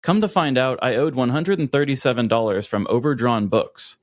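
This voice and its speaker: A man's voice: mid-range voice, a little fast, dry recording. A man co-hosting a comedy podcast with casual, playful, irreverent banter. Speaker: podcast co-host.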